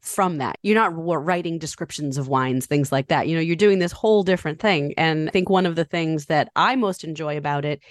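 Lower-voiced woman co-hosting a podcast. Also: fairly quick, few pauses, no room sound, studio quality. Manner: friendly, chatty. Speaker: podcast co-host. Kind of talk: casual interview hosting.

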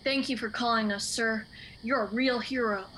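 A woman's voice: a little high, steady tone, moderate pace, a little hiss or hum. A woman playing a barking police officer. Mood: commanding. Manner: commanding, shouted orders. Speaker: actor. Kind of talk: student radio comedy acting.

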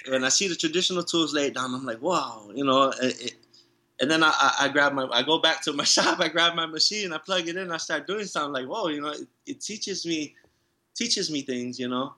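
Male tattoo artist, interview guest, bright tone, easygoing rambling delivery. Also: on the high side, brisk. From Hawaii, raised in San Diego. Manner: relaxed, rambling, many restarts and "you know". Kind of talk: casual interview chat.

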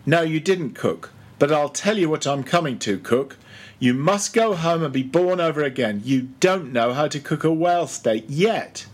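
A man's voice: on the high side, lively intonation, normal speed. A man voicing a bossy, mocking ship's mate who badgers and gives orders in a storybook reading. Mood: mocking, commanding. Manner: commanding, interrogating, mock-solemn.

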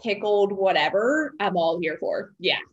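A woman's voice: medium pitch, expressive, measured pace, lo-fi sound. A woman who grew up in Texas, a personal trainer talking as a podcast guest in an upbeat, enthusiastic way. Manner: upbeat, enthusiastic, laughs often. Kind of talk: casual interview chat.

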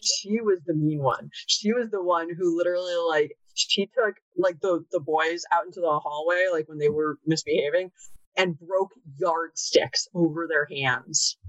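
A woman's voice: lowish voice, normal speed, no echo. A woman in her late thirties talking casually as an interview guest, chatty and full of "like" fillers. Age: 30s. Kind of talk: casual conversation. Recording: dull lo-fi sound, dry.

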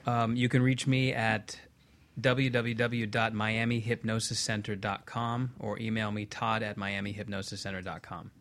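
A man's voice: lowish voice, level tone, normal speed, fairly bright tone. A man speaking casually as a talk show guest, sharing information conversationally. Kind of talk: casual spoken plug.

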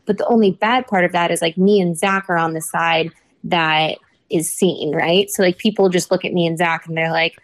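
A woman's voice: fairly low pitch, fairly even intonation, moderate pace. A young woman, a motorsport reporter, telling a story in a casual podcast chat, full of "like" fillers. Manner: chatty, rambling storyteller with many "like" fillers.

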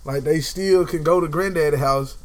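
Young man, slightly higher voice, emphatic, preachy, casual talk. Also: moderate pace. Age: young adult. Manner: emphatic, preachy, repeats phrases for effect. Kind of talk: casual conversation.

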